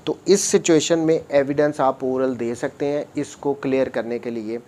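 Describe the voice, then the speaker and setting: A man's voice: mid-range voice, steady tone, moderate pace, warm tone. A man with a Pakistani accent giving an explanatory law lecture, reading statutes aloud and methodically repeating points as he switches between Urdu and English.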